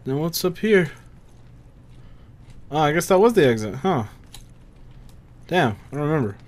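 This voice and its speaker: A man's voice: on the high side, big pitch swings, measured pace. A man giving casual, profane gameplay commentary, muttering to himself as he plays. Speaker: video game player. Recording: noisy, game gunfire behind. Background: game ambience and gunfire.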